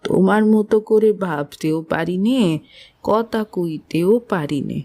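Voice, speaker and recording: A woman playing a caring, plain-spoken older motherly matron in a literary audio drama, with a gentle, concerned, homely manner. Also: on the low side, a little slow, faint music behind. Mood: tender concern.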